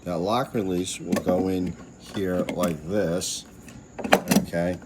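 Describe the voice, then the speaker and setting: Low-voiced man giving a hands-on tutorial. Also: steady tone, takes it slowly. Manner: plain-spoken, chatty, explains step by step. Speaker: installer presenting a how-to video. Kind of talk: casual hands-on tutorial narration. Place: outdoors at a gate. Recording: outdoor noise with insects chirping.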